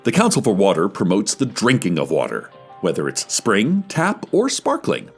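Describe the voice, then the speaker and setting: A man's voice: lowish voice, slightly rough, voice pushed down, exaggerated voice. A man voicing a pompous government announcer who reads a public-service message with fervent, rhetorical emphasis.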